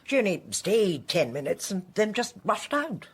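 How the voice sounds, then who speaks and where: Husky, composed British woman. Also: mid-range voice, very animated, moderate pace. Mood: shaken but composed. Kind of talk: radio drama acting.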